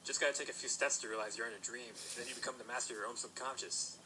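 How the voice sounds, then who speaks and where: Man acting as a young male pony knight apprentice, patient, explanatory, quick delivery. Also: medium pitch, fairly even intonation, background noise, natural voice. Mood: calm. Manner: patient, explanatory, gently self-correcting. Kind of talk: fan audio drama acting. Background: music.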